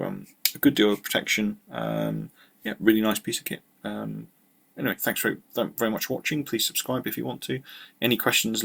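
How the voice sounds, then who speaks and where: Low, very gravelly British man. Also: a bit bright. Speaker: presenter of a tech review channel. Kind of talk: informal product walkthrough.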